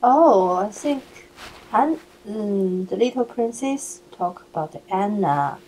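A woman's voice: mid-range voice, animated, takes it slowly, mellow, a little hiss or hum, poor audio. A Chinese-speaking woman practicing English in a lesson, hesitant with broken grammar. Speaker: English learner. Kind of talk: casual role-play lesson.

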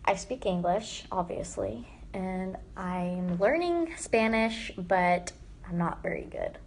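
A teenage girl chatting casually on a live stream from her phone, answering viewer comments with lots of "like" and trailing off into quiet asides. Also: mid-range voice, animated, slow pace, creaky, slight background noise. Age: teens. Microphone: phone front camera held close.

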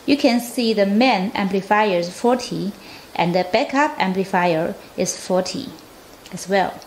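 Female product demonstrator, careful scripted read, mid-pitched, recorded in an echoey room with faint noise. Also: unhurried. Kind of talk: scripted product demo narration. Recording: roomy echo, faint background noise.

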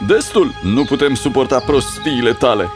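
A man's voice: mid-range voice, lively intonation, over music, lightly acted. A man playing an angry, indignant villager in a dubbed cartoon fairy tale. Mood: fed up.

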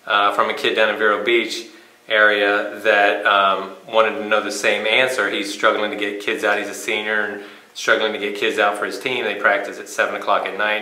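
Bright, forceful man in an echoey room. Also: on the low side. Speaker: high school cross country coach. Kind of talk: interview, informal talk. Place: small bare indoor room.

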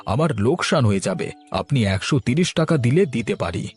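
A man's voice: mid-range voice, very animated, moderate pace, darkish tone, breathy edge, faint music behind. A man playing a friendly travelling clothes seller in a Bengali cartoon, with polite, persuasive sales patter. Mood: coaxing.

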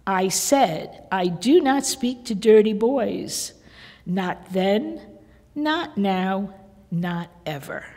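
A woman's voice: medium pitch, very slow. Woman reading as a cool proud young wife, clipped and defiant, long echo like a big hall. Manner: calm, clipped, coolly defiant.

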